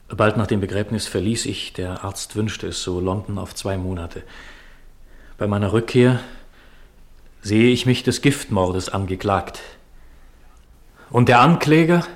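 A low voice acted by a man from a 1950s German radio drama. He is a gentleman widower, dignified yet bitter, narrating in formal and articulate sentences. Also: unhurried, long pauses, natural voice. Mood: grave, indignant.

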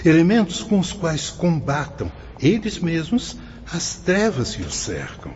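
A man's voice: fairly high pitch, huge pitch swings, a little slow, slight background noise. An actor playing a solemn, benevolent spirit director in a Brazilian radio drama, speaking in an oratorical, fatherly way. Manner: oratorical, fervent, paternal. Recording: dull lo-fi radio drama, loud music behind.

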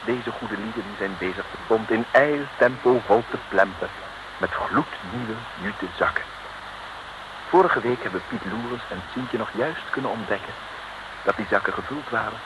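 An actor narrating an adventure serial in a florid, mock-solemn old radio storytelling style. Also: male voice, on the low side, unhurried, natural voice. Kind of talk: scripted narration, 1950s-60s radio serial. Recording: very noisy old radio recording.

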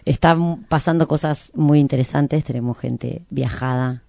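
A woman's voice: sits low, a little slow, gravelly, dark tone, no echo, studio quality. A woman with an Argentine Rioplatense accent hosting a radio show in a casual, rambling, playful chat. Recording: background music. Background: music.

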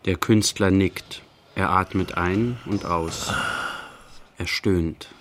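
An actor playing a sober narrator in a literary radio play, speaking in short, measured declarative phrases. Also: male voice, sits low, a little hiss or hum. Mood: lyrical observing. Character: sober male narrator observing an artist closely.

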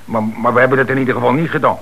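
A man acting in a radio play as an excitable, streetwise young suspect, slangy, hesitant and defensive. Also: mid-range voice, racing, gravelly, a little hiss or hum. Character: excitable young streetwise male suspect telling his story.

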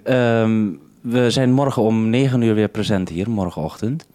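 A man's voice: lowish voice, measured pace, warm tone. A Dutch man from the Netherlands presenting radio, talking casually and solicitously over a two-way link. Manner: solicitous, questioning. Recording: noisy, clicky 1971 radio recording.

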